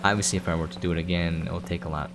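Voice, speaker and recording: A man's voice: low voice, level tone, racing, very noisy. A man giving casual gameplay commentary as a streamer, rambling and thinking aloud as he plays. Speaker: game streamer. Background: game music.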